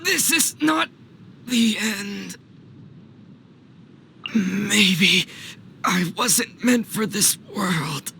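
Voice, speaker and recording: A man's voice: high-pitched, lively intonation, very slow, gravelly, long pauses, pushed and bright, a little hiss or hum, full character voice. A man voicing an earnest, determined young male traveler in a video game. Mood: strained, wistful.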